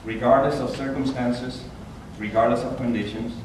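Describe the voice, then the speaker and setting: A man with a Puerto Rican accent giving an earnest, animated inspirational talk as a guest speaker at a church. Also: normal speed, noisy background. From Puerto Rico. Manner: earnest, animated, rises in pitch when stressing a point. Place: large church hall. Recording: echoey hall, taken from far off.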